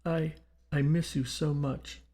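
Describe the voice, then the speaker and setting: A man's voice: fairly high pitch, level tone, slow pace, dark tone, pitched above the performer's own voice. A man voicing a grieving mother in an audiobook, tender and pleading as she speaks to her dead son.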